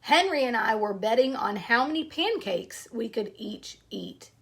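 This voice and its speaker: A woman reading a children's picture book aloud, pausing between short phrases and speaking directly to young listeners. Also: fairly high pitch, expressive, gravelly, a bit bright.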